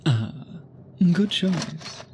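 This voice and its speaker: A man playing an obsessive, tender vampire suitor in an intimate ASMR roleplay, speaking in formal, gently doting phrases. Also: on the high side, expressive, very slow, a little hiss or hum, heavily acted. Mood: doting, courtly.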